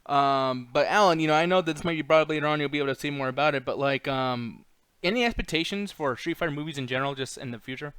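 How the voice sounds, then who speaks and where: Smooth, higher-voiced man hosting casually. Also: fast, fairly bright tone, no echo. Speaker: show host. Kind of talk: casual chat, moderating.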